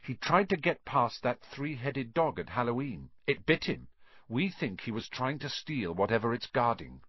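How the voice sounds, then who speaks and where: A man voicing an eager, plucky young schoolboy in an audiobook, curious and excitable. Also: mid-range voice, moderate pace, breathy edge, dry recording, highs cut off. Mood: confiding. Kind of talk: audiobook character voice.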